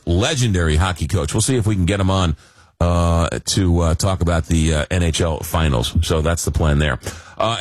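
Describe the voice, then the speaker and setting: A man with a very deep, husky voice hosts a call-in radio show, a little hoarse from a cold. He rambles casually, full of "uh"s, with a dry sense of humor. The studio sound is close and dead, with no room echo. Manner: rambling, many fillers, dry joking. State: fighting a cold, losing his voice. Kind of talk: casual late-night call-in radio talk.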